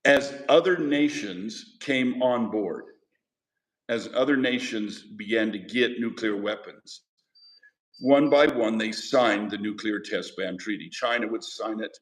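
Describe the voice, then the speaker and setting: A man's voice: lowish voice, unhurried. Male history teacher lecturing in an echoey classroom. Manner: conversational, asks the class questions.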